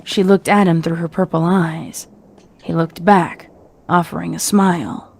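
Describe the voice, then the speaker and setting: Low-pitched woman narrating a story. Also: fairly even intonation, a little slow, creaky.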